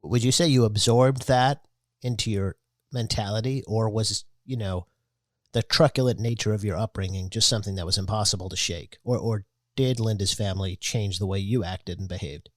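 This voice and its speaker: A man hosting a podcast, giving scripted public-radio-style narration in a measured, earnest way. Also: fairly low pitch, dry recording, clean studio sound. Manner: measured, earnest storytelling delivery. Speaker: podcast host.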